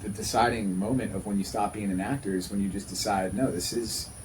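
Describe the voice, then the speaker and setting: A man's voice: fairly low pitch, steady tone, fairly quick, darkish tone, slight background noise, poor audio. A man in his thirties talking casually and earnestly as a podcast host, often repeating himself for emphasis. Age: 30s. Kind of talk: casual conversational podcast talk.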